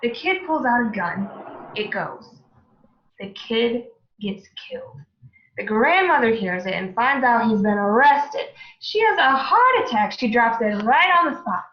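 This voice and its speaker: A woman's voice: on the high side, lively intonation, unhurried. A woman acting a gossipy mobster's wife, earnest and dramatic, in a radio play. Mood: grave gossip. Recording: echoey room, dull, faint noise.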